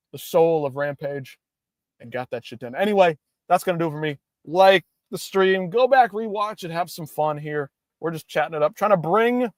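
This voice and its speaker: A man's voice: a little high, dry recording, studio quality. Profane hyped-up man ranting on stream. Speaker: livestream host. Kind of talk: casual livestream chat.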